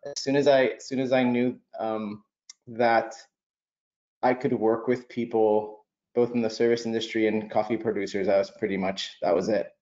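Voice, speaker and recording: A man's voice: lowish voice, little pitch movement, moderate pace, a little mellow, roomy sound, highs cut off. A man introducing himself on a video panel as a coffee roastery owner, casual and laid-back.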